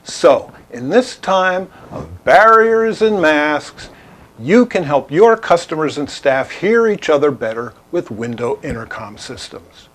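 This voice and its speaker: A man presenting a scripted product overview in an instructional, emphatic, clearly structured way. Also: a little high, big pitch swings, slow, a bit bright. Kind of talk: scripted explainer narration to camera.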